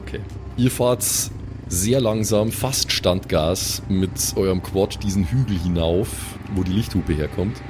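A man's voice: deep voice, measured pace, background noise. A man, improvising as game master in a colloquial, descriptive way. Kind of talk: improvised tabletop game narration.

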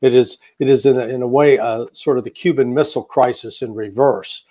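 An American man answering interview questions as a guest analyst, speaking in measured phrases with frequent pauses, fillers and restarts. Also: medium pitch, fairly even intonation, mellow. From United States. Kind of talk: interview commentary.

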